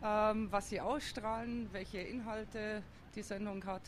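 A woman's voice: mid-range voice, fairly even intonation, takes it slowly, a little hiss or hum. A woman answering a street interview outdoors, thoughtful and hesitant, with many fillers as she talks about politics. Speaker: interviewee, district councillor. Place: outdoors on a busy city square.

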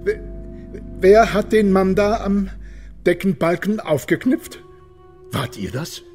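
Stern accusing church official, radio play, highish voice, pompous, light music behind. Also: male voice, lively intonation, takes it slowly, darkish tone, heavily acted. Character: indignant, stern church official hunting heretics. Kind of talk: German radio play acting.

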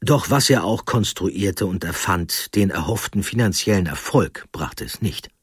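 A man with a low-pitched voice narrates a scripted historical story. He phrases it deliberately and dramatically, often in short units. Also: animated, breathy edge, no room sound, clean studio sound.

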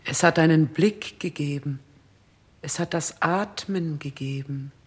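An actress in a 1960s German radio play playing a stern, cold queen with severe, measured declamation. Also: low voice, breathy edge. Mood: solemn, insistent.

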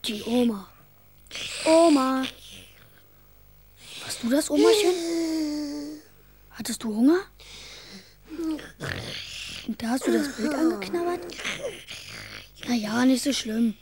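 A woman's voice: high-pitched, lively intonation, slow, pauses often, a little mellow, slight background noise. A female performer playing a young boy, imaginative and caring, chatty and earnest in a children's radio drama. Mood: tender.

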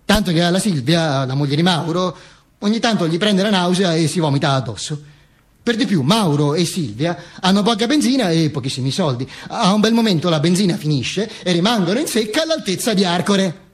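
A male stage actor playing a dead dictator who tells his own posthumous story in an ironic, emphatic theatrical monologue. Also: high-pitched. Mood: wry, dramatic. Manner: emphatic, obsessively repetitive, deliberate pauses.

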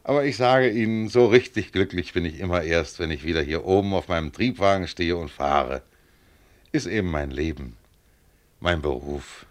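A man's voice: sits low, moderate pace, lightly acted. An actor playing a modest, contented tram driver of about forty in a German radio play, plain-spoken, chatty and proud of his work. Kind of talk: German radio play acting, conversational monologue.

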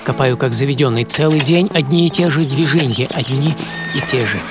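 An actor playing an earnest, dreamy storyteller who recalls a poor boyhood, in a theatrical, reflective radio monologue. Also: male voice, medium pitch, a little fast, lightly acted. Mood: weary. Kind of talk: dramatic first-person radio monologue. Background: music.

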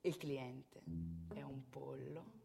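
An actress playing a brash, worldly prostitute who instructs a newcomer in a frank, knowing stage monologue. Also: female voice, rumbling low, flat delivery, extremely slow, gravelly, over music, full character voice. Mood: frank, instructive. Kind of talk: theatrical monologue.

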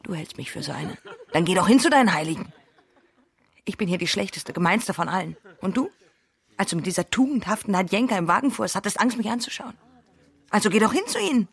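A husky, bitter young woman. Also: mid-range voice, animated, fast, no room sound. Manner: drops to hushed whispers. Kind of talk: radio play acting.

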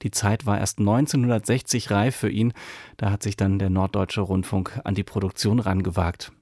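A male radio announcer giving a measured, formal, scripted introduction to a radio play. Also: fairly low pitch, flat delivery, a little mellow, dry recording, clean studio sound.

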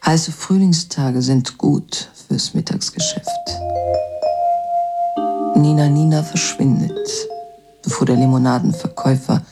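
A man narrating a literary radio drama in a measured, detached, observational way. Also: on the high side, fairly even intonation, lightly acted. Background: mallet percussion music.

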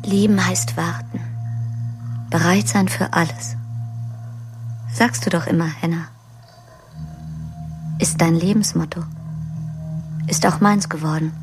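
A whispering, longing lover. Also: female voice, lowish voice, steady tone, slow, long pauses. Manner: whispered, intimate monologue. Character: lonely woman longing for her weekly lover. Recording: radio play with music.

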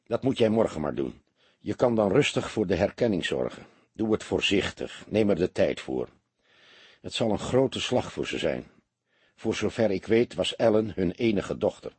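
Male reader as weary police detective, deep-ish husky voice, humble, hesitant, audiobook dialogue. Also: sing-song, moderate pace, darkish tone, dry recording, heavily acted. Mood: weary, calm. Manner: hesitant, deferential, understated. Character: world-weary police detective, feigning meek, sheepish humility. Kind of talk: audiobook character voice.

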